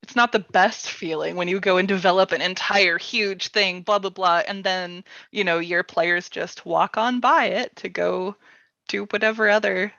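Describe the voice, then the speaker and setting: A woman hosts a casual livestream workshop in a mid-pitched voice. Her phrasing is informal and a bit hesitant, peppered with "like." The sound is dry and slightly dull. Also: fairly even intonation, normal speed, gravelly, sharp tone, no echo. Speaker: host of a publisher's livestream workshop. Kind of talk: casual workshop chat, reading viewer questions.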